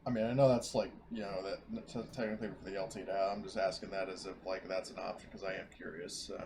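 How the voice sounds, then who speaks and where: Gravelly man chatting over clear noise. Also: deep voice, level tone, fast talker, darkish tone. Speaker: tabletop game player. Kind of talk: casual chat during a tabletop game.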